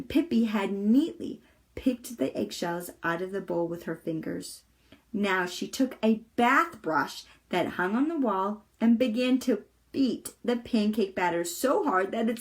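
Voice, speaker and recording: A woman reading a children's chapter book aloud with deliberate, expressive storytelling. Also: a little high. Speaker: volunteer storybook reader.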